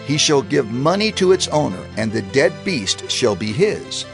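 A man reads scripture in a solemn, measured way, his pitch rising and falling freely. He slows down to stress key phrases. Faint music plays beneath his voice. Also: very animated, vocal fry. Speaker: scripture reader. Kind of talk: dramatized scripture reading.

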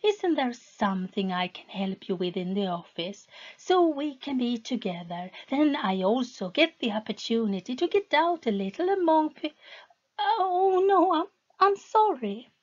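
A timid young wife in a storybook, anxious and eager to please, her voice climbing high and halting as she apologizes, as a woman reader puts her on. She talks slowly, and the recording sounds dull and lo-fi. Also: animated. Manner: hesitant, apologetic.

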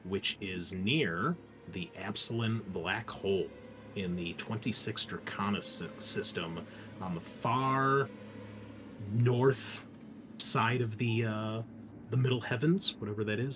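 A man game master recapping a tabletop session in a casual, conversational way, halting at times and leaning on descriptive words. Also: on the low side. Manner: casual, sweary, conversational asides. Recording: clear background noise, room ambience.

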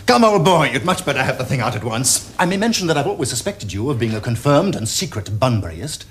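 An actor playing a flippant, teasing Victorian gentleman dandy, with an arch, theatrical upper-class English delivery. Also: mid-range voice, sing-song, quick pace, a little hiss or hum, close to an everyday voice. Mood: mocking, playful. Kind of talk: period stage comedy acting for television.

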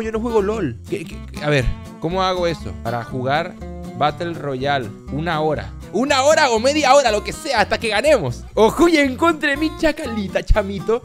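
Excitable young Venezuelan gaming streamer. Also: male voice, high-pitched. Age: young adult. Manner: excitable, jokey, then chatty with teammate. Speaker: gaming youtuber. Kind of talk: casual gaming commentary. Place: small bedroom studio indoors. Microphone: boom mic with headphones.

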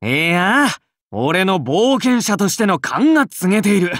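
A man's voice: sits high, big pitch swings, a little slow, fairly bright tone, no room sound, studio quality, exaggerated voice. An actor playing a friendly young paladin king in a game, casual and bantering like a cool older brother. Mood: breezy, friendly. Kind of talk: scripted game character dialogue.

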